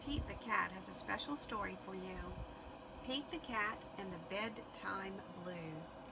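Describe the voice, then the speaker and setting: Female storybook reader: unhurried, expressive, some vocal fry, noticeable background noise. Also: medium pitch. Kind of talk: expressive children's read-aloud narration.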